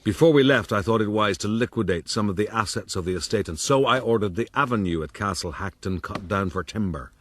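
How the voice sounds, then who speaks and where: A man playing a scheming, self-pitying gentleman rogue who narrates his own life in a formal, self-justifying way for a radio drama. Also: lowish voice, expressive, fairly quick, hardly any pauses, a little hiss or hum. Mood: smug, measured.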